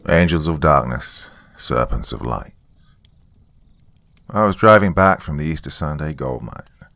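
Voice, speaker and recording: A man's voice: rumbling low, expressive, a little slow, vocal fry, fairly bright tone, no room sound. A man reading a scripted first-person story as a dramatic narrator. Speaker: narrator of horror stories.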